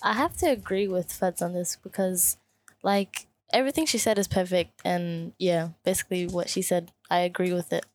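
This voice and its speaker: A woman's voice: lowish voice, moderate pace, no room sound. A teenage schoolgirl from Australia on a youth panel, speaking casually and a little hesitantly, with lots of "like". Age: teens. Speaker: student panelist.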